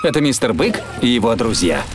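A man's voice: medium pitch, very animated, brisk, close to an everyday voice. A man narrating a preschool cartoon as a friendly storyteller, in simple explanatory phrases. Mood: storytelling. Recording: music behind the voice.

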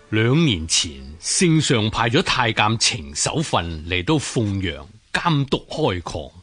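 Solemn, husky, troubled official. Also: male voice, medium pitch, fairly bright tone, full character voice. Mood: troubled, grave. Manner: solemn, measured, declamatory. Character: earnest, troubled male official and loyal husband. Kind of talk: radio drama acting.

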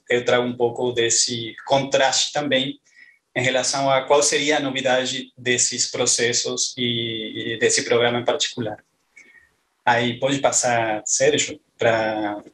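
Man, Argentine Spanish accent, thirties, academic talk, flat intonation, hesitant fillers, headset on a video call. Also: mid-range voice, a little hiss or hum, low-quality recording. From northeast Argentina. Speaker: postdoctoral researcher. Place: small room at home.

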